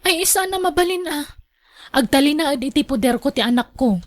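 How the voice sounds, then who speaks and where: High-voiced headstrong young mother. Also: lively intonation, fairly quick, dry recording. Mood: firm, curt. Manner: defiant, dismissive. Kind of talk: Ilocano radio drama acting.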